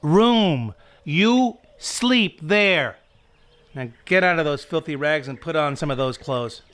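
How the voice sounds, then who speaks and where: A man's voice: fairly high pitch, animated, slow, a bit bright. A man playing a brusque, shifty, bossy fellow at a desert house in an audio mystery drama. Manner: bossy, curt. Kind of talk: audio drama re-creation of 1930s mystery film.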